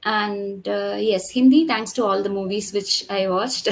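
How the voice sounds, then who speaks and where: A woman from Kerala whose first language is Tamil, talking as a storyteller guest, explaining in a measured way with frequent fillers. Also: medium pitch, creaky. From Kerala, India. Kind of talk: conversational interview and storytelling.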